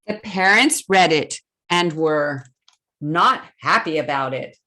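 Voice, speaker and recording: A woman hosting a children's storytime, reading a picture book aloud expressively and pausing to put questions to young listeners. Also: low voice. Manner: expressive, deliberate, simple phrasing with questions to children.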